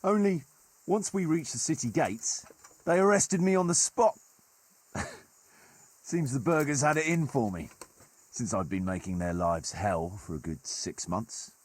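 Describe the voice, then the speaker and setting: Wry medieval mercenary leader for a game: husky, animated storyteller, very dry recording. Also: male voice, mid-range voice, unhurried, long pauses, no echo. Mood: animated storytelling. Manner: colloquial, archaic turns of phrase, wry. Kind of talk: dramatic storytelling in a video game cutscene.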